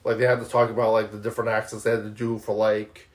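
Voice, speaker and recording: A man co-hosting a casual movie podcast in a laid-back, agreeable way, often trailing off quietly. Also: lowish voice, completely flat delivery, quick pace. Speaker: podcast co-host. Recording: roomy echoey room.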